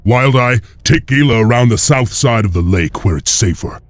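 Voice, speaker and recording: A man playing a weary, resolute clan lord and hero in a video game, speaking tersely and gravely. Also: mid-range voice, lively intonation, moderate pace, dry recording, soft background music, close to an everyday voice. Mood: wary. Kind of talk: dramatic video game acting.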